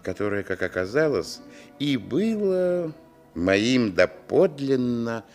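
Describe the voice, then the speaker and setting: A man playing a wistful grown narrator looking back on his village childhood, with expressive literary storytelling in a radio play. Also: mid-range voice, slow pace, faint music behind. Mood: wistful, dramatic.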